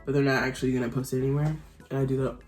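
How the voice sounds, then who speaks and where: A woman's voice: rumbling low, level tone, rapid-fire, darkish tone, faint music behind. A nineteen-year-old woman vlogger chatting casually while her makeup is done, rambling and giggly with lots of fillers. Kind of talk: casual chat.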